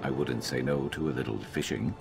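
A man playing a wry, gruff reptilian adventurer companion in a video game, with a gravelly, dry, deadpan grumble. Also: a bass voice, fairly quick, music in the background. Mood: reflective, loyal.

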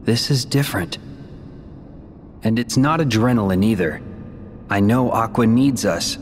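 A young man voicing a calm, earnest hero in a video game, measured and sincere. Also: lowish voice, in a large hall, a little hiss or hum, close to an everyday voice. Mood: earnest, reflective.

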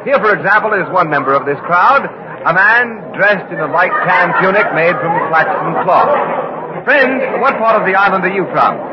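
A man's voice: high voice, lively intonation, normal speed, a crowd in the background. An American man as a radio news reporter, giving formal, polite, descriptive commentary in 1950s style. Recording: dull, noisy vintage radio recording.

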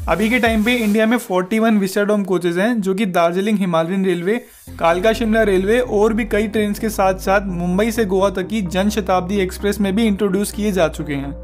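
A man with a high voice narrates a travel video as its host, reading a polished script in a smooth, upbeat tone. He recommends things warmly and confidently. A faint music bed runs under his voice. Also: fairly even intonation, brisk.

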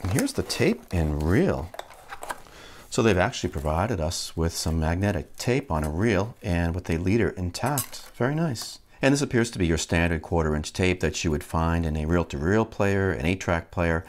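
A man with a very deep voice talks through a hands-on task as a video host. His pitch moves around a lot, giving the casual narration an animated swing. He takes his time and describes each step as he goes. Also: moderate pace. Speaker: host of a vintage electronics channel.

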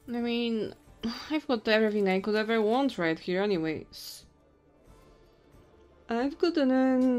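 A woman's voice: a little high, a little mellow. A woman voicing a tipsy, flirty female goblin innkeeper in an ASMR audio roleplay, teasing and intimate. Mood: tipsy, chatty. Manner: teasing, intimate, drawn-out drunken musing.

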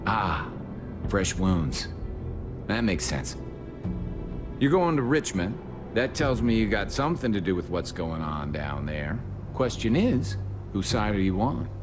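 An actor playing a shrewd, armed stranger in an apocalypse video game, with a folksy, probing way of talking. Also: male voice, fairly low pitch, very animated, measured pace. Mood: cool, controlled. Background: music.

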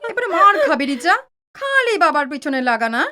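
A woman playing a caring, chiding middle-aged mother in an audio drama, with an expressive motherly delivery. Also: high voice, a little fast.

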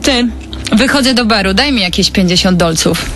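A woman's voice: mid-range voice, expressive, moderate pace, a bit bright, faint music behind, natural voice. A woman playing an aggressive, foul-mouthed, demanding young woman in a radio crime dramatisation.

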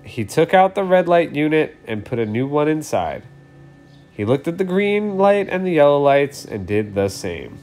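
A man's voice: fairly high pitch, sing-song, normal speed, darkish tone, soft background music. A man in his thirties reading a children's story aloud expressively, as a storyteller.

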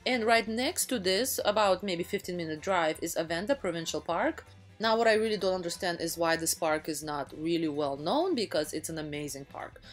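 A chatty, enthusiastic woman in her thirties talks through a travel vlog in an easy voice-over. Her voice sits a little low, and she often stops to restart a sentence. Soft music plays faintly behind her. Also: normal speed. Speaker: travel vlogger. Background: faint background music.

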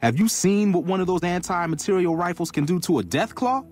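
Game guard: brash, opinionated man, higher-pitched, very animated delivery. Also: brisk, soft background music. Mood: brisk, impressed. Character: brash male gun company guard, opinionated and incredulous. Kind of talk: video game character barks.

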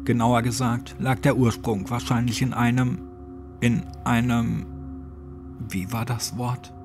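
A man's voice: medium pitch, level tone, a little slow, over music. A man reading a scripted horror story as an audiobook narrator.

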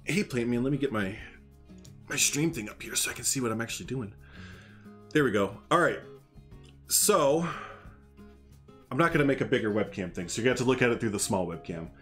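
A man's voice: medium pitch, expressive, fairly quick, long pauses, a bit bright, soft background music. A man in his thirties from Northern Illinois with a slight Chicago accent, chatting casually and jokingly with viewers while streaming a building game on a headset at home. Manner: rambling, jokey, chatty with viewers. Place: small room at home.

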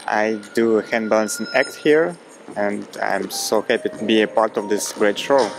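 A man in his thirties speaking English with a Ukrainian accent, his voice sitting somewhat low. He talks haltingly, with plenty of "uh" and "like" between phrases. Loud music plays clearly under the interview. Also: low-quality recording. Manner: hesitant, many fillers, warm. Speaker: hand balancing performer. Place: dark studio, seated before backdrop.

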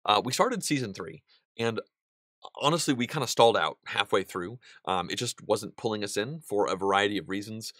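A man in his thirties hosting a board game channel, seated at a desk in a small studio and answering viewer questions in a relaxed, chatty way with plenty of ums and restarts. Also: on the low side, normal speed, dry recording. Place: indoor studio room with a wall of game pieces.

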